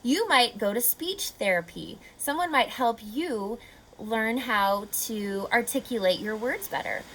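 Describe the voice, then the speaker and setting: A woman reading a picture book aloud to children like a teacher, expressively, pausing to explain and ask questions. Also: on the high side, poor audio. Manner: expressive, instructive, asking questions of young listeners.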